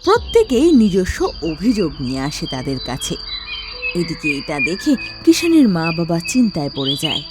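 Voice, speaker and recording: A woman's voice: lowish voice, huge pitch swings, normal speed, background noise. A woman giving scripted narration for a cartoon story.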